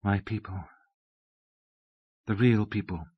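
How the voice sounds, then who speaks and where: A man voicing an old abbess in an audiobook, soft and breathless, with a dreamy, pleading, childlike innocence. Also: lowish voice, expressive, unhurried, no room sound, highs cut off, voice pushed down. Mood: dreamy, serene. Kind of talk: dramatic audiobook storytelling.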